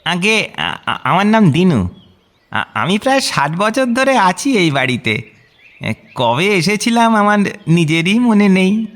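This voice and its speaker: A man's voice: sits high, very animated, fairly bright tone, heavily acted. An actor playing a very old, humble house servant who stammers and halts through his words in a radio drama. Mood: nervous, humble. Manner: stammering, halting. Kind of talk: radio audio drama acting.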